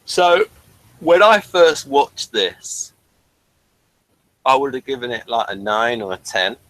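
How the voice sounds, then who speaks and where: Halting man hosting a casual review. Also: medium pitch, very animated, a bit bright, dry recording, low-quality recording. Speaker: host of a TV review show. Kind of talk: casual unscripted review chat.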